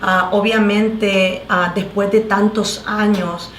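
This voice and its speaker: An earnest woman pastor with a Salvadoran Spanish accent tells her story, stopping and restarting as she goes. Her voice sits at an ordinary middle pitch. The sound is echoey, with faint noise behind her. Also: flat delivery. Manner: earnest, halting, many fillers and restarts. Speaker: pastor, guest. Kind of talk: testimony in a talk show.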